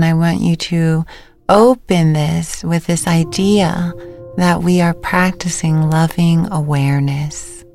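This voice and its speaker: A woman meditation teacher giving gentle, unhurried guided instructions with pauses between phrases. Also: low-pitched, creaky. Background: music.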